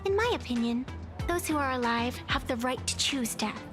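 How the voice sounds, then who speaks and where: A high-pitched young female game character, musing and playful by nature. Music plays underneath her lines. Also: lively intonation, normal speed. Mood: musing, philosophical. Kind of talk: video game voice acting.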